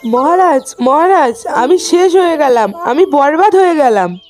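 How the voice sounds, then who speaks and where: A woman playing an excitable, gullible, pushy neighbour wife in a cartoon, with a dramatic, insistent delivery. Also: high voice, measured pace, soft background music. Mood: distraught. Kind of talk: Bengali cartoon voice acting.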